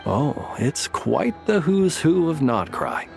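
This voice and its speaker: A man playing a formal, composed and encouraging comrade in a video game, speaking in measured, courteous phrases. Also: a little high, sing-song, creaky, darkish tone, faint music behind, heavily acted. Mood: courteous, earnest.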